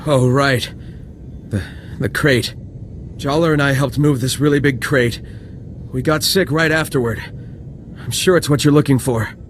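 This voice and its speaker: An actor playing a desperate, sick villager and devoted husband in a video game, emotional and faltering. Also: male voice, mid-range voice, a little slow, pauses often, slight background noise, natural voice. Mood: relieved, grateful. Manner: pleading, stammering, emotional. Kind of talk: video game dialogue acting.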